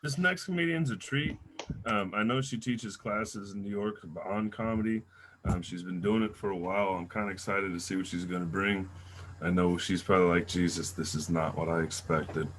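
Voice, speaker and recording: A man's voice: sits low, normal speed, a bit bright, slight background noise, rough recording. A man hosting an online comedy show, bringing comedians on and getting the crowd going. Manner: upbeat, hypes the crowd. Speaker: show host. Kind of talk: emceeing comedians.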